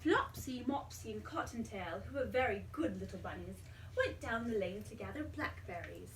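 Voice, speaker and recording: A woman reading a children's storybook aloud in an expressive, dramatic way, pausing to put questions to a young child. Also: fairly high pitch. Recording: roomy, distant mic, faint background noise.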